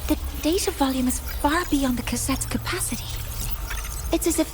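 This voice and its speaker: A woman's voice: high voice, moderate pace, natural voice. A woman playing an earnest young scientist in a video game, speaking formally and precisely as she explains things. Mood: focused, concerned. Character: earnest young female scientist, briefing her mentor. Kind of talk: scripted game dialogue. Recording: music behind the voice.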